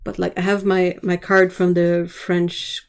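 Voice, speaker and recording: A woman hosting a casual livestream, chatting while she paints and answering viewer comments, sometimes dropping to a soft, quiet voice. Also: lowish voice, monotone, unhurried, gravelly, clean tone. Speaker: livestream host.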